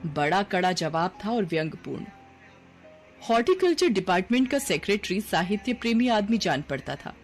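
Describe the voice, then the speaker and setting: A woman narrating a scripted story in an expressive storytelling manner. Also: mid-range voice, moderate pace, soft background music.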